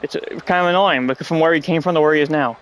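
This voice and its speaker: A man's voice: a little high, very fast, fairly bright tone, clear, resonant tone, low-quality recording. A man with a stuffy nose who is coming down with a cold, hosting a casual wrestling review chat at home in a rambling, sarcastic way. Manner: rambling, sarcastic, often annoyed. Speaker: podcast host.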